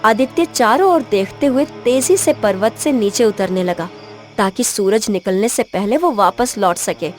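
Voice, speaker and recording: A woman narrates a fairy tale in a medium-pitched voice. Her delivery is scripted and dramatic storytelling, with clear background music. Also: animated, a little slow.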